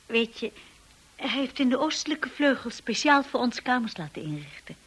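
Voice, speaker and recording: A woman playing a timid, anxious young wife in a radio drama, hushed and hesitant, apologetic and eager to please. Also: a little high, sing-song, moderate pace, full character voice. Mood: earnest, unsure. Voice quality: breathy, hushed.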